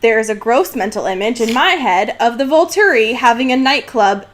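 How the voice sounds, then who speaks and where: A woman's voice: on the high side, animated, normal speed. A young woman co-hosting a podcast in casual banter, irreverent and freely swearing in slang. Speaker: podcast co-host.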